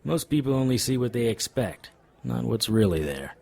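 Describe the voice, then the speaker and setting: A man's voice: mid-range voice, very animated, moderate pace, gravelly, dark tone. A man playing a wry, world-weary paranormal investigator in an audio drama, narrating and talking with dry wisecracks. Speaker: lead character and narrator.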